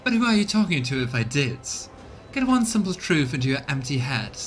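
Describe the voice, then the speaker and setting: A man's voice: a little high, big pitch swings, a little fast, a bit bright, voice pushed up. A man voicing a harsh, imperious noblewoman in a game, scornful and haughty, with music behind. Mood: contemptuous. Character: harsh, angry, commanding noblewoman, contemptuous and imperious.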